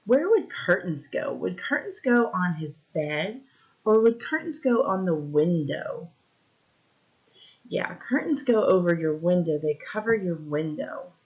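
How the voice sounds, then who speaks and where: A woman reading a picture book aloud to young children like a teacher, explaining simply and pausing to ask questions. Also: on the low side, expressive, darkish tone. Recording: dull, lo-fi recording.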